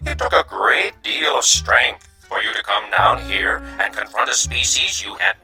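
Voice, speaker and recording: An actor playing a gentle, courteous alien from another dimension, speaking formally and haltingly in a gravelly, breathy hiss for a radio drama. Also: male voice, on the low side, very animated, soft background music, heavily acted, rough recording. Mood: encouraging. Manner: slow, formal, halting speech.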